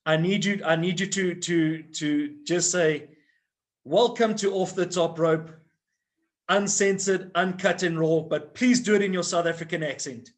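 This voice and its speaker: A man's voice: sits high, steady tone, measured pace. A man hosting a podcast over a video call, playful and repeating phrases for emphasis. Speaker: podcast host. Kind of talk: casual video-call chat.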